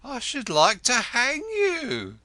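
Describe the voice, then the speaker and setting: A man voicing a shrill, sharp-tongued landlady who scolds her lodger. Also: wildly swooping pitch, slow, no echo, heavily acted. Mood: scolding.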